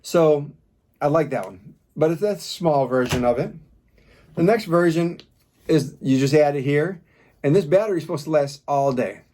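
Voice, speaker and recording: A man's voice: fairly high pitch, normal speed, mellow. A man doing an informal product review, talking casually as he demonstrates gear step by step.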